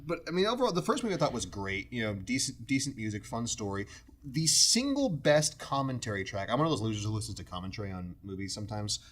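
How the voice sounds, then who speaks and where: A man's voice: on the low side, big pitch swings, fast talker. A man hosting a casual podcast discussion in a jokey, wry manner, moving it along with his opinions. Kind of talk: casual chat, film opinions.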